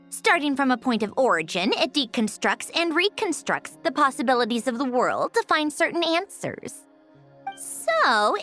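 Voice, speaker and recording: A woman's voice: high-pitched, fairly bright tone. A woman voicing an aloof, sardonic female scientist in a video game, with a dry, condescending, lecturing manner.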